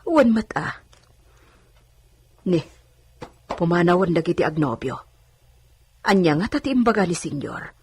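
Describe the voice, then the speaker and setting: A woman's voice: on the low side, sing-song, unhurried, long pauses, darkish tone, breathy edge, exaggerated voice. A woman playing a chatty, teasing housemaid in an audio drama, talking in a familiar, advising way. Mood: chatty, respectful.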